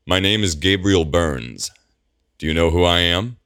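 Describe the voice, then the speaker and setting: A man's voice: low-pitched, a little slow, a bit bright, clean tone, no echo, studio quality, voice pushed up. A man doing a growling, imperious male ruler. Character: commanding, growling male lord regent.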